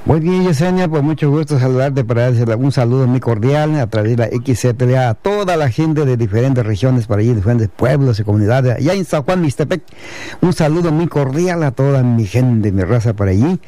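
A man hosts a community radio broadcast with a mellow, darkish voice. He reels off long strings of greetings to towns and listeners, and the sound carries the feel of a radio transmission. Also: medium pitch, expressive, a little fast, no echo. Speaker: radio host.

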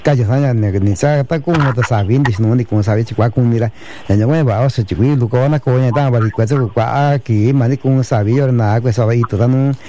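Male radio host with a dark, mellow voice, easy repetitive greetings, on a radio broadcast. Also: mid-range voice, a little fast, slight background noise. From Mixteca region of Oaxaca, Mexico. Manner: warm repetitive greetings, speaks in Mixtec too. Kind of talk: bilingual community radio greetings.

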